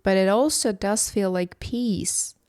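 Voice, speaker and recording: A woman from Istanbul, hosting a reflective solo podcast as a philosophy coach, speaking in a slow, contemplative, measured way. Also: medium pitch, darkish tone, no echo, clean studio sound. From Istanbul, Turkey.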